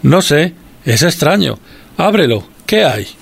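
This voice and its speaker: Lively male thief from Spain. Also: a little high, slow, full character voice. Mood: intent. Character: male thief, persuasive, romantic partner in crime. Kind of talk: audio drama acting. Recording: clearly noisy background.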